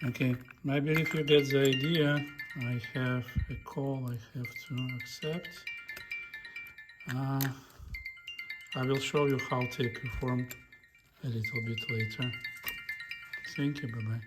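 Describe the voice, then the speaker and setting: A man giving a casual hands-on demonstration, murmuring halting step-by-step remarks as he works, recorded on a phone. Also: mid-range voice, fairly even intonation, over loud music. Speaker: hobbyist demonstrating a tape deck repair. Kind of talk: casual hands-on repair demonstration, talking while working. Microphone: phone held in front of him.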